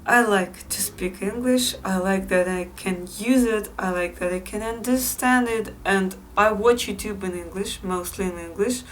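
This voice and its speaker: Woman with a Russian accent, unhurried vlogging. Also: medium pitch, far from the mic, slight background noise, rough recording. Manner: unhurried, repeats phrases, frequent fillers. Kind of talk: casual vlog to viewers.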